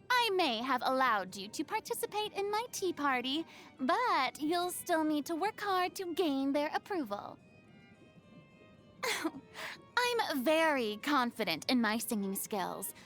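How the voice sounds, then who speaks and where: A woman's voice: high voice, fairly bright tone, full character voice. A woman voicing a vain, dramatic young celebrity performer in a video game, with a pompous, theatrical manner. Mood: proud, grand, then haughty. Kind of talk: video game character voice lines.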